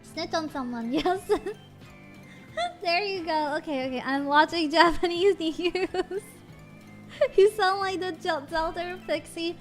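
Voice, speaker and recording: A woman's voice: extremely high pitch, slow, soft background music. A woman streamer chatting casually and giggling, switching between English and Japanese. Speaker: VTuber streamer. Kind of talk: casual live-stream chat.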